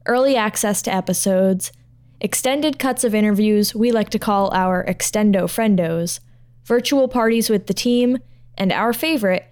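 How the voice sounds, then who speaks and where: A woman's voice: mid-range voice, a little mellow, smooth. A woman reading a scripted podcast introduction as the show's line producer. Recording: voice over music. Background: music.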